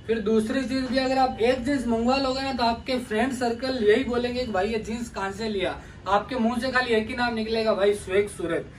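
A man in his thirties presenting jeans to camera in a large clothing store, in an energetic hard-selling pitch that keeps calling viewers brother. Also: brisk, hardly any pauses, recorded from a distance, rough recording. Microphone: camera mic at a distance. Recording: voice from off mic with faint room noise.

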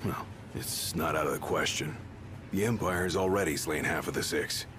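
A man voicing a big, tough, laid-back young bodyguard in a video game, gruff, casual and blunt. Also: extremely low pitch, moderate pace, background noise. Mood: gruff, easygoing.

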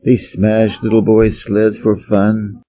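A man from Wisconsin with an American Midwest accent, reading a children's story aloud slowly and clearly for English learners. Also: on the low side, monotone, dark tone. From Wisconsin, USA. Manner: careful, evenly paced read-aloud. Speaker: audiobook reader for English learners.

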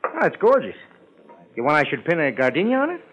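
An actor playing a brash, sarcastic lunch wagon cook trading wisecracks in a 1950s radio drama. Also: male voice, fairly high pitch, huge pitch swings, fast, fairly bright tone. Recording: muffled, slightly noisy vintage radio.

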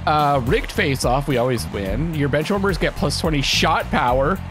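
A man's voice: fairly high pitch, moderate pace. A Canadian man commentating as he plays a hockey video game, thinking aloud and yelping at the plays. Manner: excitable, thinks aloud, laughs and yelps at plays. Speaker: gaming channel host. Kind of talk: let's play commentary. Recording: game music playing behind.

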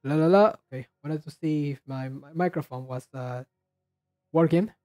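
High-voiced man, halting and rambling. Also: mellow. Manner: halting, rambling, repeats and restarts words. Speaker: reaction video host. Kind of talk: casual commentary on game trailers.

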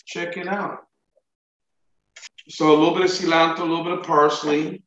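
A man's voice: fairly high pitch, little pitch movement, moderate pace, fairly bright tone, echoey room, highs cut off. A middle-aged man, a chef, leading a cooking demo and checking in with his student over a video call. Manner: encouraging, coaching with frequent "okay?" and "right?" checks. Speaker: chef instructor.

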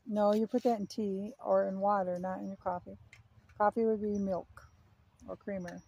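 A woman behind the camera in a backyard, casually coaching and gently teasing children through a pretend game. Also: mid-range voice, fairly even intonation, moderate pace, creaky, slightly rough, low-quality recording. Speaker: parent filming children at play. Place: backyard, outdoors. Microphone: handheld camera, speaker behind it.

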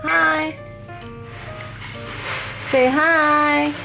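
A woman's voice: high voice, flat delivery, very slow, gravelly. A woman, cheerfully hosting a home vlog in English and laughing often. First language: Korean. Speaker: vlogger host. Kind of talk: casual vlog talk. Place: indoors at home. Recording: echoey home room with music.

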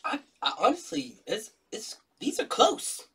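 A man's voice: sits high, unhurried, breathy edge, recorded from a distance. A young man with a cold, nasal and a bit stuffy, chatting jokily through a taste test at home. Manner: jokey, animated, laughs often. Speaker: co-host. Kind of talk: casual taste-test chat. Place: dining room at home.

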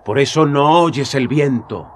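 An actor playing a young, earnest Jesus in a radio drama, talking with passionate urgency. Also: male voice, medium pitch, measured pace, a little hiss or hum, lightly acted. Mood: reproachful. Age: young adult.

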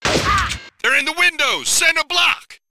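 An American actor playing a brash, sarcastic, wisecracking cop in a video game gunfight. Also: male voice, extremely high pitch, slow pace, background noise. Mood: urgent. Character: cocky wisecracking male Miami cop in a gunfight.

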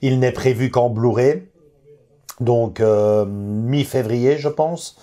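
Mellow-voiced man, halting chat. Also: mid-range voice. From Belgium. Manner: hesitant, many fillers and restarts. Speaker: host. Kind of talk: casual chat about film collecting. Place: living room, indoors.

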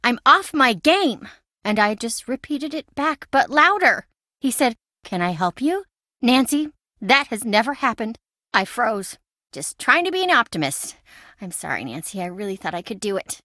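A woman playing an insecure, excitable, boy-crazy young best friend in a video game, dramatic and expressive. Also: on the high side, moderate pace, no room sound, clean studio sound. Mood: dejected. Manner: dramatic, expressive, emotionally swinging.

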